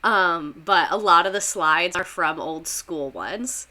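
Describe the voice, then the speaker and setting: A woman's voice: fairly low pitch, slow, bright, forceful tone. A young woman teacher with a Southern US accent, giving a rambling, chatty history lesson on a livestream, full of fillers and laughs.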